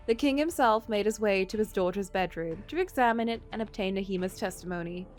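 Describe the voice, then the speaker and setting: A woman streamer reading a story game aloud, mixing expressive narration with casual asides. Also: medium pitch.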